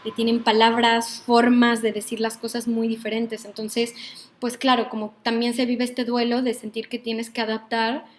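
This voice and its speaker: Mexican woman teaching a workshop, kinda high voice, lots of pauses and "right?" checks. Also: little pitch movement. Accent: Mexican Spanish. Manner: thoughtful, frequent "¿no?" tags and hesitations. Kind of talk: online workshop lecture.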